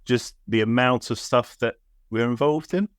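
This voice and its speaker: A man's voice: mid-range voice, normal speed, clear, resonant tone, dry recording, clean studio sound. A man hosting a podcast, asking questions in a chatty way and hedging with fillers. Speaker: podcast host, foster carer. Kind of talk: conversational interview.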